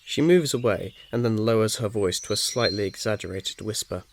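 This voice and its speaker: A man reading scripted second-person ASMR fiction as narrator in a soothing, measured manner. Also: fairly low pitch. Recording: faint noise, nature ambience behind. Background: birdsong, insects, nature ambience.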